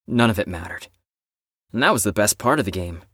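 A man's voice: on the low side, expressive, fairly quick, a bit bright, dry recording, clean studio sound. A man playing a brash, sarcastic, cocky and bitter teenager in an audio drama.

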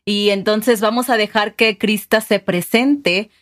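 Una co-presentadora mexicana de podcast, con acento mexicano, que guía la conversación de manera amable y cercana. Habla con tono natural, de charla entre amigos. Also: female voice, mid-range voice, fairly even intonation, a little slow. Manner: friendly, guiding the interview with questions. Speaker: podcast co-host. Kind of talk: casual chat.

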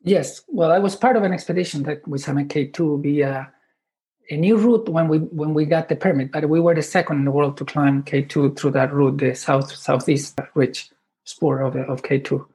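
A man in his fifties from Chile, speaking English, talking haltingly with many restarts as a podcast guest. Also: a little high, fairly even intonation, a little mellow. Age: 50s. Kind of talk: podcast interview.

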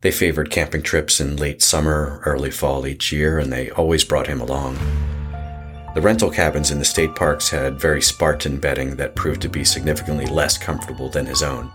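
Very deep solemn horror narrator performed by a man. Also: fairly even intonation. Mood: ominous, measured. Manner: measured, solemn, deliberate storytelling. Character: ominous, literary male narrator of a horror tale. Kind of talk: scripted horror audio drama narration. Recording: music under the voice.

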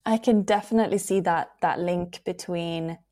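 A woman hosting a conversational interview, introducing the topic and asking her guest friendly, measured questions. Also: on the low side, dark tone. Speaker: podcast host.

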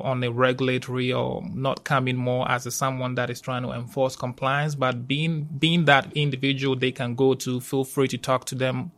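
A man with a Ghanaian accent speaks conversationally, as a guest in an interview. His pitch is average and stays fairly flat, and he moves haltingly, often repeating and rewording a phrase. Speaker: university safety professional, interview guest.

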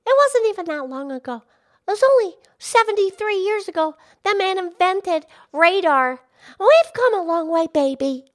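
Podcast cartoon pig, played by a woman: high-pitched, colloquial, exclaiming, eager and folksy. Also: big pitch swings, moderate pace. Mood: excited, chatty. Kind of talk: playful podcast banter.

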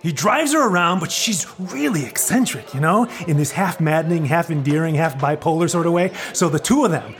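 Glib theatre adviser: high, swooping voice, flippant salesman's patter, condescending, comic audio play. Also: expressive, a little fast, few pauses, soft background music. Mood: selling, coaxing. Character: pushy, glib male theatre pro giving advice. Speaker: actor. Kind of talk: comic podcast play dialogue.

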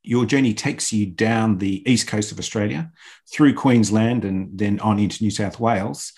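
Measured male radio interviewer. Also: lowish voice, darkish tone. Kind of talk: prepared interview questions.

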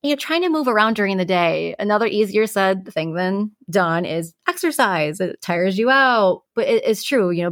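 A woman's voice: medium pitch, dry recording, clean studio sound. A woman co-hosting a podcast, giving advice in an informal, conversational way and explaining things through everyday examples. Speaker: podcast co-host giving sleep tips.

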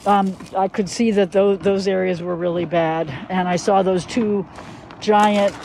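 A woman's voice: mid-range voice, fairly even intonation, measured pace, vocal fry, darkish tone. Female garden staffer, unscripted interview delivery, recorded outside with light ambient noise. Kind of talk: conversational interview. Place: outdoors in a garden. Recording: faint outdoor ambient noise.

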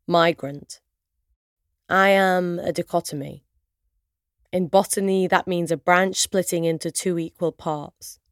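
British woman performing spoken word, lower-pitched voice. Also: no echo, clean studio sound. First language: Arabic. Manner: measured, declamatory, deliberate phrasing with pauses. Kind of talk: spoken word poetry recital.

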